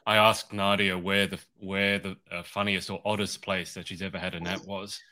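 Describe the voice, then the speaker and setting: A man's voice: sits low, little pitch movement, moderate pace, pushed and bright. A man hosting a podcast in a casual, teasing chat with guests over a video call. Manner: teasing, jokey. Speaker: podcast host.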